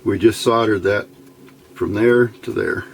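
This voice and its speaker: Male hobbyist instructor, gravelly, folksy, casual workbench walk-through, muttering as he goes, noisy recording. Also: lowish voice, unhurried. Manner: folksy, muttering to himself while working. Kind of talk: casual step-by-step demonstration while working. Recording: clear background noise.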